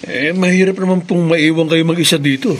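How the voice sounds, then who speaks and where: A man's voice: fairly high pitch, steady tone, a little fast, a little hiss or hum, natural voice. An actor playing a brave armed man in a Filipino radio horror drama, speaking dramatically and narrating his own actions aloud. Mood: resolute, reassuring. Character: brave armed man vowing to kill monster monkeys.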